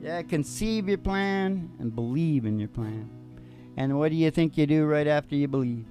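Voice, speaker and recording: A man's voice: a little high, lively intonation, moderate pace, mellow, soft background music. A middle-aged man hosting a casual motivational chat into a stand microphone, rambling in a folksy way and asking leading questions. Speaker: host and country musician. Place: small curtained stage.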